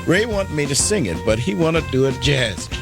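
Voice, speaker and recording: A man's voice: medium pitch, very animated, a little fast. A man casually telling a story, acting out the quotes as he goes. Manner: acts out quotes animatedly. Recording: loud guitar music behind voice.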